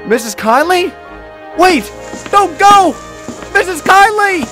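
A man's voice: lowish voice, animated, moderate pace, soft background music, lightly acted. A man voicing a sad, lonely male steam engine in a children's story, speaking in an earnest, wistful way. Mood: desperate, pleading.

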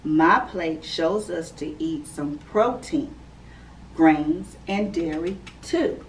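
A woman in her thirties, a preschool teacher, reading a picture book aloud to young children in a slow, clear, sing-song storytime manner from her classroom. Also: sits low. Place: classroom indoors. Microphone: camera a few feet away. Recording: slightly distant camera mic with faint room noise.

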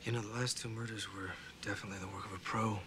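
An American actor in his forties playing a calm, menacing investigator with a shady past, terse and coolly confident, in a film. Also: fairly low pitch, rapid-fire, close to an everyday voice. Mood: terse, pressing. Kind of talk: film acting. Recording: film dialogue over noisy restaurant ambience.

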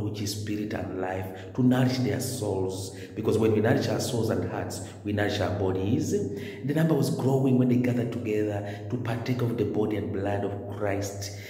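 A middle-aged male priest preaches with earnest, repeated phrases, filming himself on a phone held at arm's length. His voice is dark and mellow. The stone chapel around him rings with a long, echoing reverb. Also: lowish voice. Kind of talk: video homily. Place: stone-walled chapel indoors.

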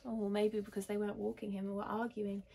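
A woman in her thirties reading a children's picture book aloud in an animated, expressive way, now and then addressing the listener. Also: mid-range voice, fast talker, creaky, slight background noise. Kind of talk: animated bedtime story reading. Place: small bedroom, indoors.

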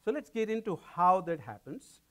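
A middle-aged man with an Indian accent giving an animated public science lecture in a large lecture hall, pacing and gesturing. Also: sits high, moderate pace.

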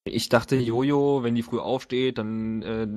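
A man's voice: medium pitch, steady tone, measured pace, darkish tone. A man acting as game master, chatting casually and explaining things in a wry, joking way. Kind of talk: casual game-table chat.

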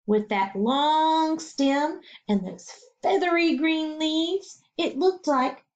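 A middle-aged woman teacher reading a children's picture book aloud at home, slow and expressive, pausing to ask her listeners questions. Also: high voice. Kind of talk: children's storybook read-aloud. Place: home living room. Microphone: webcam screen recording. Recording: dull lo-fi webcam audio.

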